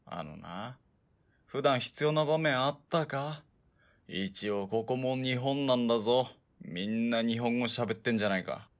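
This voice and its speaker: A man playing a gruff, world-weary gunman in a Japanese radio drama, speaking bluntly and wryly in rough colloquial speech. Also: mid-range voice, unhurried, lightly acted. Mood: irritated. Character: gruff, world-weary male sniper-team partner, wryly nagging.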